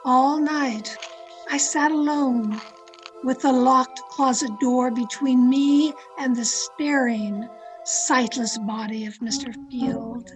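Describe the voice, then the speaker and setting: A woman's voice: sits high, low-quality recording. A woman playing a thrill-seeking young woman who narrates her murder tale with dramatic, breathless intensity in old radio suspense style. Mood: tense. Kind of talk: 1940s radio suspense acting, scripted first-person narration. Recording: video-call radio play with music underneath. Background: dramatic music.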